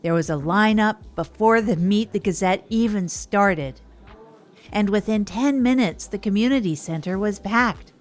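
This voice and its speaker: A woman's voice: medium pitch, unhurried, lightly acted. A woman playing an enthusiastic, overwhelmed small-town reporter, narrating conversationally in a comedy audio drama. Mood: enthusiastic recounting. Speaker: narrator and series creator.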